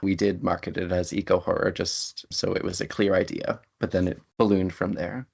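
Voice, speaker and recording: A man with a low, gravelly voice chats as an interview guest about his creative work. He speaks in an easy conversational style, and the recording is dull and band-limited. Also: little pitch movement, moderate pace, a little mellow.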